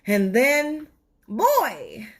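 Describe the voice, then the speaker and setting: Female storybook reader, higher-pitched, slow and expressive children's narration.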